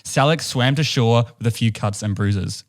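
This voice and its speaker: A man's voice: medium pitch, normal speed. Young New Zealand man telling a story. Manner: animated storyteller, laughs mid-sentence. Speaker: podcast co-host. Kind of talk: casual storytelling chat. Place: home room with stone wall, indoors. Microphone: boom studio mic close up.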